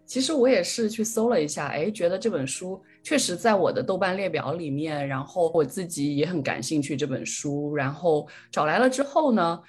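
A woman's voice: lowish voice, animated, normal speed, few pauses, a little mellow, breathy edge, faint music behind. A woman co-hosting a podcast, chatting casually and reading out listener messages in a chatty, easily amused way. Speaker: podcast co-host.

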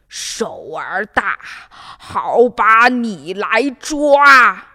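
A woman playing a sly, menacing male wolf in a children's fairy tale, with drawn-out, exaggerated delivery. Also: mid-range voice, sing-song, pushed and bright, big-room sound, exaggerated voice. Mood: sly, coaxing.

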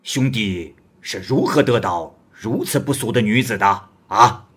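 Bold, booming red-bearded male wanderer in an old tale, voiced by a man storyteller, husky and low. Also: animated, a little slow, exaggerated voice. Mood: hearty. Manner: loud, booming, blunt.

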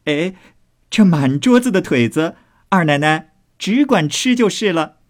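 A man's voice: medium pitch, sing-song, moderate pace, darkish tone, pitched above the performer's own voice. A man voicing a cheeky, spirited young maidservant in a storybook, saucy and mock-indignant with many exclamations. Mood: gleeful teasing.

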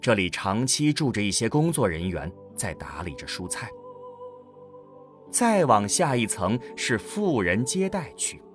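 A male narrator tells a science fiction audio drama. He takes his time, with a lively, varied melody at a medium pitch, over faint music. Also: unhurried.

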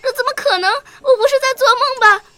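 A woman's voice: very high-pitched, fairly quick, pushed and bright, faint music behind. A young female performer playing an upset, pleading young daughter in a radio drama. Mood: tearful, amazed.

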